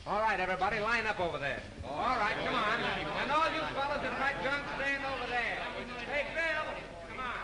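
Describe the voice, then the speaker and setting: An actor playing a harried male assistant director shouting orders across a film set, on a noisy, echoey 1930s soundtrack. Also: very high voice, brisk. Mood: alarmed. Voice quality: loud barking commands. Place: large film sound stage.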